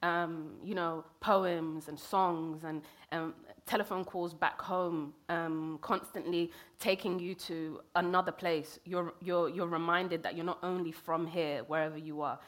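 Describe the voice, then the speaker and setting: A woman's voice: fairly low pitch, fairly even intonation. Thoughtful woman in her thirties. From London. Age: 30s. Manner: halting and thoughtful, with many fillers and restarts. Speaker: poet. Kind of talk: reflective interview conversation.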